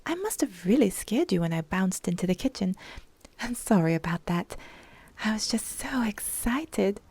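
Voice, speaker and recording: Bubbly coaxing young woman, lively and bouncy pitch, read by a female storyteller. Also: moderate pace, husky, no echo. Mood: playful. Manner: teasing, excitable, coaxing.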